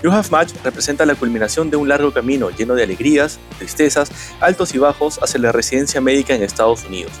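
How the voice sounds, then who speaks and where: Male host reading a scripted intro, measured delivery, soft guitar behind. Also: medium pitch, lively intonation. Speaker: podcast host, intro announcer. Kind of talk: scripted intro then greeting.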